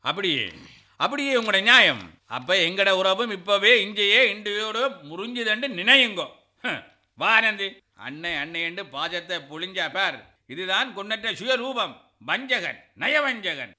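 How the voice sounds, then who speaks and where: An actor with a Sri Lankan Tamil accent plays a bitter middle-aged brother-in-law in a radio drama, scornful, forceful and threatening. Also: male voice, sits high, lively intonation, normal speed, full character voice. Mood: angry.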